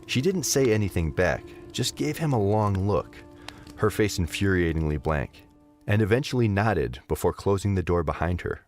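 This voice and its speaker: Deep, animated male story narrator. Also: moderate pace, faint music behind. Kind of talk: expressive scripted story narration.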